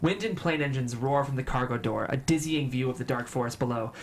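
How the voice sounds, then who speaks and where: A man's voice: medium pitch, fairly quick, slight background noise. A man reading stage directions as the narrator of a script at a table read.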